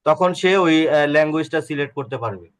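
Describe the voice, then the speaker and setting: Man, slightly high voice, casual and reassuring adviser in an online group chat, tends to repeat words. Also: animated, quick pace, low-quality recording. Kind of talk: casual group call discussion, giving advice.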